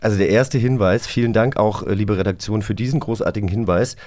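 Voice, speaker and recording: A man's voice: on the low side, fast, darkish tone. A man hosting a casual quiz chat as the presenter of a talk show. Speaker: host.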